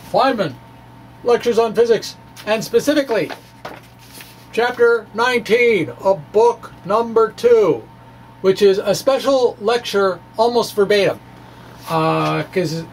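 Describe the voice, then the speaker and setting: A middle-aged man gives an informal explanatory physics talk in a chatty, halting way, with frequent asides. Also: high-pitched, rough recording. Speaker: science blogger presenting a physics lecture. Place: small indoor room with bookshelves. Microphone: handheld-style microphone on a stand in front of him. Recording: clear background noise, room ambience.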